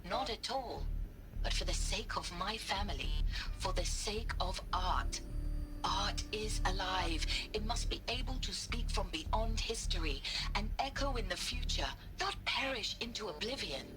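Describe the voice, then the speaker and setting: An actress playing a solemn, conflicted art historian in a game audio log, speaking in a halting, reflective way. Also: female voice, mid-range voice, expressive, music in the background, lightly acted. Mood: earnest, resolute.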